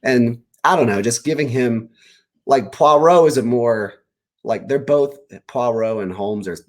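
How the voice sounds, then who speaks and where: A man talking casually as an author guest in an interview, animated and rambling as he pieces ideas together aloud. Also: medium pitch, measured pace. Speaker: children's book author, guest. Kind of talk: casual interview answers about writing.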